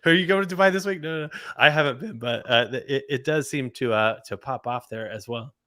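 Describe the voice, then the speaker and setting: A man hosting a livestream interview with an energetic, talkative delivery, repeating words and adding plenty of fillers. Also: medium pitch, fairly quick, no echo. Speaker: livestream host.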